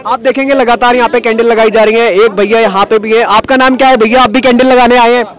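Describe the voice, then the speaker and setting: A man reporting from a street protest, putting quick questions to students. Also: very high-pitched, steady tone, fairly bright tone. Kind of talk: on-the-spot protest interviews. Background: crowd of protesting students.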